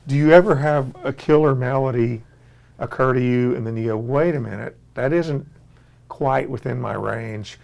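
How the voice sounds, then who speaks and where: A male host interviewing a musician in a friendly, curious way, quick to laugh. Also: medium pitch, gravelly, darkish tone. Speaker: radio show host. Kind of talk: casual interview chat.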